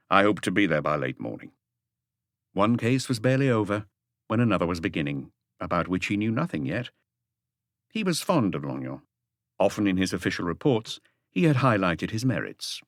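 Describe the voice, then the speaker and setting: A male audiobook narrator reads a mystery in a very low voice. His pitch moves expressively through the literary prose, and the sound is dry, with hardly any room in it. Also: no room sound.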